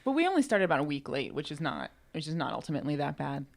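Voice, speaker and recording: A woman's voice: low voice, sing-song, rapid-fire, vocal fry. A woman co-hosting a podcast, chatting casually in an informal, playful, dryly joking way. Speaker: podcast co-host and writer. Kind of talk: casual chat.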